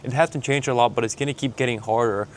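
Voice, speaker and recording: A man's voice: mid-range voice, steady tone, quick pace, a little hiss or hum. Blunt Mexican-accented man giving a pep talk. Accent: Mexican Spanish. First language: Spanish. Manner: blunt, pep-talk delivery, calling the listener man and bro. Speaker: sales coach. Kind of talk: motivational vlog monologue. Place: outdoors while traveling. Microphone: handheld camera filming himself.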